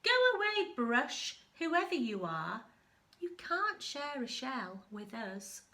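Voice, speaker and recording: A woman's voice: a little high, big pitch swings, takes it slowly, bright, forceful tone, heavily acted. A woman reading a children's storybook as a grumpy, fed-up little crab with a bossy, complaining manner. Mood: cross, dismissive. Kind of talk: children's storybook read-aloud.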